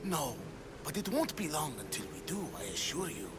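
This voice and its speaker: An actor playing a scheming cult member in a video game, secretive and insinuating, often dropping toward a whisper. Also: male voice, on the high side, sing-song, normal speed, over loud music. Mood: conspiratorial. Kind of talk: dramatic video game acting. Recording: loud ambient noise behind voice.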